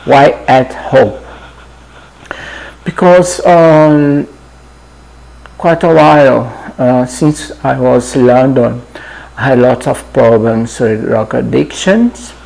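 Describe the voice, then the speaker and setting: Brazilian guy, 50s, portuguese accent, slow and halting with lots of "yeah", bit of a gravelly fry. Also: mid-range voice, darkish tone, low-quality recording. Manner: halting, many fillers and restarts, often adds "yeah". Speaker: channel host. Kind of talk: casual personal introduction.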